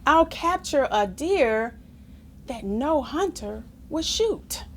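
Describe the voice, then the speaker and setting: A woman reading a children's picture book aloud in an expressive, deliberate sing-song way. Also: high voice, slight background noise. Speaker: storybook reader.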